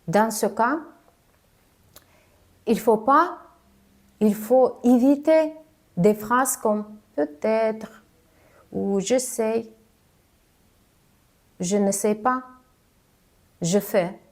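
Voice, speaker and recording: Slow, careful woman speaking a second language. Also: a little high. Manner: careful, halting delivery of a non-native speaker. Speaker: communication coach. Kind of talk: spoken advice video.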